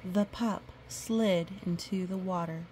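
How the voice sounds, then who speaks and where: A woman reading a picture book aloud to a young child, animated and repeating words for emphasis, with explanatory asides. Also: on the low side, slow, a little hiss or hum.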